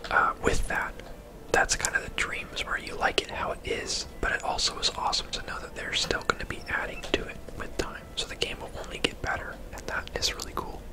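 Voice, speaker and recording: A person whispering casual, laid-back ASMR commentary over a video game, in a breathy, unvoiced voice. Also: brisk, rough recording. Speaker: host, gamer.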